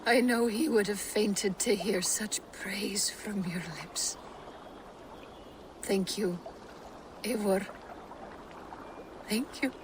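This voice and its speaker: A woman's voice: medium pitch, slow, pauses often, background noise, close to an everyday voice. An actress playing a grieving, anguished wife mourning her fallen warrior in a game drama. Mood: sorrowful. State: anguished, tearful. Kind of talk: dramatic game cutscene acting.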